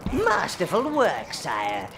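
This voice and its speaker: An actor playing an old, servile and gleefully wicked minion advisor in a fantasy game, with a sly, obsequious manner. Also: male voice, on the high side, huge pitch swings, takes it slowly, creaky, background noise, full character voice. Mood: sly, gleeful servility. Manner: obsequious, sly, relishing mischief. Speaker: advisor and guide to the player. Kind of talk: theatrical fantasy game voice acting. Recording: game music behind the voice.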